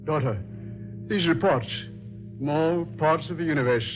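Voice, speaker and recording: A man's voice: on the high side, moderate pace, over loud music, lightly acted. A man acting a grave, regal elderly king and father in an old-time radio drama. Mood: relieved, grave. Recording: tinny old radio recording.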